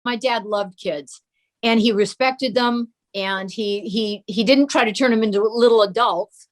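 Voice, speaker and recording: A woman's voice: a little high, a little slow. A woman talking conversationally as an interview guest, sharing family memories. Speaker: interview guest, host's daughter. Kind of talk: conversational reminiscing.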